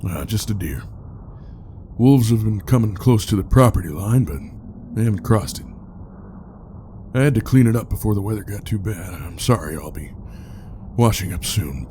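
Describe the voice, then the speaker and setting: An actor playing a gruff groundskeeper in an audio drama, plain-spoken, unbothered and quietly insistent. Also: male voice, on the low side, animated, normal speed, long pauses, slight background noise, close to an everyday voice. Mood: calm, firm.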